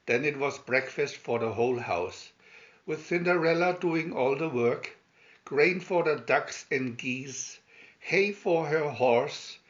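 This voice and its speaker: A man narrating a fairy tale aloud as scripted storybook reading, with deliberate, expressive phrasing. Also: fairly high pitch. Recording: slightly dull, lo-fi sound.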